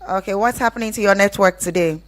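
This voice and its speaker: A woman's voice: medium pitch, quick pace. A woman with a Nigerian accent hosting a live radio phone-in, reading messages and talking with callers. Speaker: radio call-in show host.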